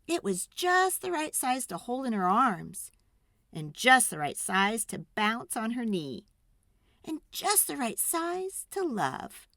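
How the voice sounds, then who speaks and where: A woman narrates a children's story with theatrical, expressive delivery. Her voice is high and lively, moving at a slow, deliberate pace. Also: no room sound, clean studio sound. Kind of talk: dramatic scripted storybook narration.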